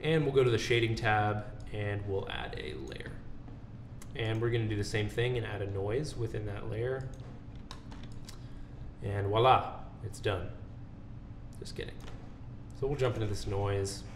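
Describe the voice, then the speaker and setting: A man presenting a casual software tutorial, informal and thinking aloud as he works. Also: fairly low pitch, steady tone, measured pace, pauses often. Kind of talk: casual screen-recorded software walkthrough. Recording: roomy, noisy voice-over with keyboard typing.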